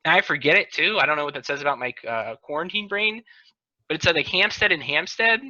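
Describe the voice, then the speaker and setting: American male co-host, fairly high and very bright voice, loose conversational banter over a video call. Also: expressive, quick pace, no echo. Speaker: podcast co-host and local chamber of commerce chairman. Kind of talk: casual group banter. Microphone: video call microphone. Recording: dull, band-limited video call audio.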